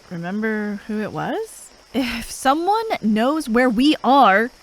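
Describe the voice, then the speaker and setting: A woman's voice: fairly high pitch, exaggerated voice, low-quality recording. Sardonic, swooping fantasy assassin played by a woman. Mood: tense. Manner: sarcastic, drawling, sweary, with sighs. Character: sardonic female fantasy assassin, gruff, impatient, grudgingly caring. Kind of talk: ASMR roleplay acting, addressed to the listener.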